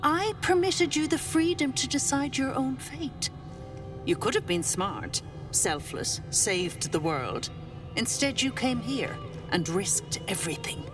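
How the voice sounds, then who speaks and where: A woman acting a stern, reproachful servant of a devil lord in a video game, measured and accusing. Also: sits high, very animated, recorded from a distance, over music, heavily acted.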